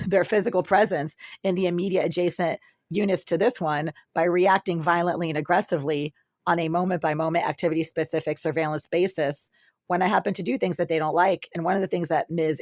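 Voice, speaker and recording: A woman talking alone to camera in her apartment, in an intense, accusing monologue of long run-on sentences, with a raspy voice. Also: low-pitched, no room sound, studio quality. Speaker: vlogger. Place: her apartment, sitting in the dark.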